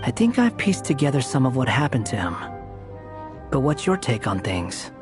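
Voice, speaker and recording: An earnest young adventurer talks things through in a thoughtful, inquiring voice. His mid-pitched delivery moves up and down in a lively way. Game music sits clearly underneath. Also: normal speed.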